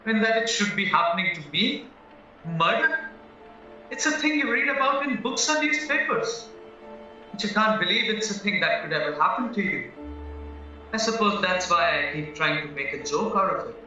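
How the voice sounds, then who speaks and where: A man's voice: high-pitched, animated, normal speed, sharp tone, full character voice. A man acting in an audio play as a naive, anxious young husband accused of murder, earnest and rambling as he protests. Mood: anxious, pleading. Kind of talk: stage-style audio drama acting. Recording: very echoey, muffled, distant, music underneath.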